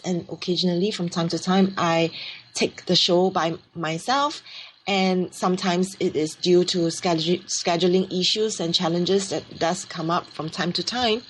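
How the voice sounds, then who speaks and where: A woman with a Singaporean English accent hosts a solo radio talk show. She explains in an earnest, teacherly way, and her voice sits at a mid pitch. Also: slight background noise, rough recording. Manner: earnest, explanatory, reads aloud then speaks freely with restarts. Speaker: radio show host and sexologist.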